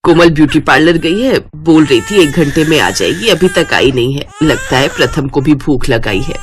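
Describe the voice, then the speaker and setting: A woman voicing a worried, gently scolding older mother-in-law in a cartoon story. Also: sits low, lively intonation, normal speed, noisy background. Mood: matter-of-fact. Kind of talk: Hindi cartoon story voice acting.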